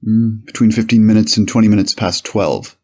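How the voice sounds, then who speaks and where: A man playing an 1890s police officer on the witness stand, giving measured, formal, slightly hesitant testimony in a dramatized courtroom reading. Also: on the low side, fairly even intonation, a little mellow, close to an everyday voice.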